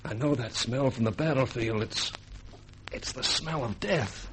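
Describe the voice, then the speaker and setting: An actor playing an uneasy, spooked army captain in a vintage radio drama, with a folksy, halting delivery. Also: male voice, medium pitch, a bit bright, close to an everyday voice. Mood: terrified. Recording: faintly noisy old radio recording.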